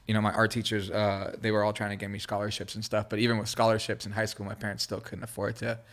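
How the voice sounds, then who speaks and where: A man's voice: fairly low pitch, completely flat delivery, fast talker, fairly bright tone. A man whose first language is Hebrew, telling stories casually and in a rambling, slangy way as a podcast guest. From born in Israel, grew up in California. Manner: heavy slangy fillers, restarts mid-thought. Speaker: podcast guest, creative director. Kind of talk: casual, rambling conversational storytelling.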